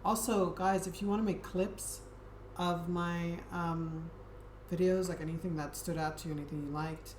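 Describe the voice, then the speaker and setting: A woman streamer chatting casually with her viewers in a soft, friendly, hesitant way, with frequent ums. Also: lowish voice, moderate pace, hardly any pauses. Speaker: Twitch streamer. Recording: echoey room with steady background noise.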